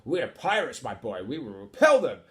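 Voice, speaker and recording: A man's voice: medium pitch, wildly swooping pitch, moderate pace, a bit bright. Man putting on a gallant tiny pirate captain. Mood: resolute. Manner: exclamatory, old-fashioned jolly turns of phrase. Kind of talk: children's storybook character voice.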